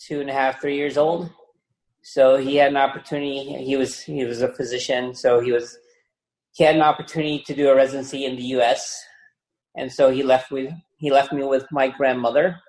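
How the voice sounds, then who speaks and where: 60s guy from the philippines, relaxed, jokey, sounds like an echoey room. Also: mid-range voice. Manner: relaxed, joking, brief answers. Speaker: guest. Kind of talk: casual interview.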